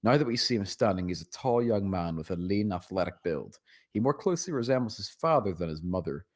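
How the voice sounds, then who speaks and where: A man's voice: sits low, expressive, fairly quick. A man narrating a script's stage directions at a table read.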